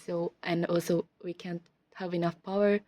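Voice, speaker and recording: A young woman from Japan, a student giving an interview answer. She speaks deliberately, pausing with fillers. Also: fairly low pitch, flat delivery, warm tone.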